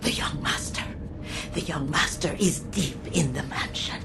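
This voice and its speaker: An actress playing a frightened, dying woman haunted by visions in a video game, halting and breathy. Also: low-pitched, background noise, full character voice. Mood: horrified, faint. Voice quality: breathy, creaky. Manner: slow, halting, repetitive. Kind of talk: dramatic game cutscene acting.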